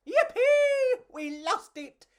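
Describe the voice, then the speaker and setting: An excitable little storybook cockroach lad, put on by a woman storyteller, pitched high and very animated. He is adventurous and eager, exclaiming everything theatrically. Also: drawn-out words, full character voice. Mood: excited, eager.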